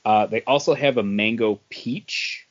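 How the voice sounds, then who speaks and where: A man's voice: lowish voice, a little slow, dull audio. A man co-hosting a podcast in a casual, conversational style. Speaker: comics podcast co-host. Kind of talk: casual chat.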